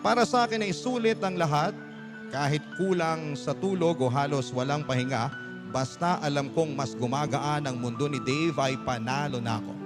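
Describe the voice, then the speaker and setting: A man giving a dramatic scripted narration as a radio storyteller, earnest and sentimental. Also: on the high side. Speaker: radio storyteller reading a listener's letter. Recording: clear music bed under voice. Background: music.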